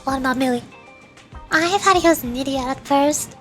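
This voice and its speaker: A woman's voice: high voice, normal speed, lightly acted. A woman voicing a perky, scheming young cat girl mercenary, chatty and cajoling, reading game dialogue. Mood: reflective. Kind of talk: video game dialogue read aloud. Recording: loud music behind the voice. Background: game music.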